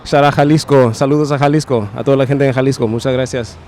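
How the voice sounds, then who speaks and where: A man whose first language is Spanish, talking in a lively, casual way with interviewers outdoors on a city street. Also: medium pitch, rapid-fire. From Mexico. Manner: animated, laughs and shouts people out. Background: faint traffic and street ambience.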